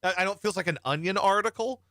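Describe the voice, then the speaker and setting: Bright-voiced, blunt male talk host. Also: sits high, fast talker, no echo, studio quality. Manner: blunt, profane, rambling. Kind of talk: opinionated show banter.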